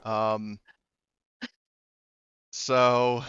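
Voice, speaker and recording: A man speaking as game master of a tabletop roleplaying game, halting as he thinks aloud. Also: medium pitch, little pitch movement, a bit bright, highs cut off, slight background noise.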